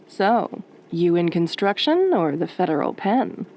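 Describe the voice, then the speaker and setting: A woman playing a sultry, teasing late-night radio DJ in a soft-spoken roleplay, with a velvety voice and a flirty, wry drawl. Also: on the low side, big pitch swings, takes it slowly, full character voice.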